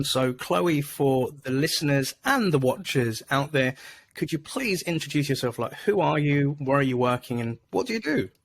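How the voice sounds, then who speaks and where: A man's voice: mid-range voice, a little hiss or hum. A man hosting a podcast over a video call, upbeat and chatty, with lots of fillers. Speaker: podcast host. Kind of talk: casual video-call interview.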